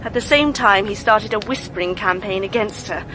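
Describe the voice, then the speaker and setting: A woman's voice: medium pitch, normal speed, background noise, close to an everyday voice. An actress playing an earnest, indignant young woman with a British accent and an emphatic, clipped delivery in a radio drama. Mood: earnest, confiding. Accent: British English.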